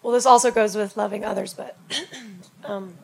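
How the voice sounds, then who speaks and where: Hoarse woman speaking up in church. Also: medium pitch, fairly even intonation, normal speed, rough recording. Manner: reflective, personal. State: losing her voice. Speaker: parent in congregation.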